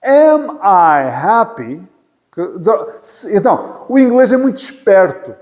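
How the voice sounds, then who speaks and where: A man's voice: high-pitched, sing-song, takes it slowly, darkish tone. A man from Portugal with a European Portuguese accent, teaching an English class patiently, repeating phrases and joking lightly. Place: church hall classroom. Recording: reverberant hall.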